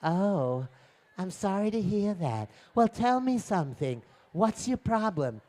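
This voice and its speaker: A man voicing a polite, helpful young girl in an oral story, gentle, chatty and a little hesitant. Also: sits high, sing-song, unhurried, pitched above the performer's own voice. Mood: curious, polite.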